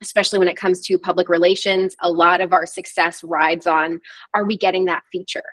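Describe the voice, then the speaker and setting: Low-voiced woman, candid and reflective. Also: flat delivery, brisk, no echo. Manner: reflective and candid, with frequent "um" and restarts. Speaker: guest, PR agency founder. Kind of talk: candid interview conversation.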